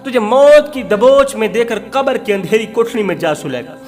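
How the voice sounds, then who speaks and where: A high-voiced male preacher delivers an emotional moral story in sermon style. His telling is dramatic and emphatic, with phrases repeated for weight. Clear music plays along behind his voice. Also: animated, moderate pace, a little mellow.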